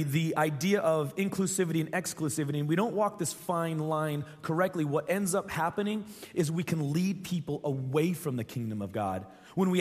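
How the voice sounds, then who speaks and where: Scratchy young pastor guy preaching, kinda high voice, earnest, big echoey church hall. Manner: earnest, repeats phrases for emphasis. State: scratchy, strained voice. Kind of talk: sermon. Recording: long reverb from a church hall.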